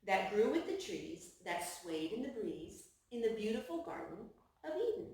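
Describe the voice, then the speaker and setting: A woman hosting a children's storytime, reading picture books aloud in an expressive, sing-song way to a small child. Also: medium pitch, normal speed, creaky, echoey room.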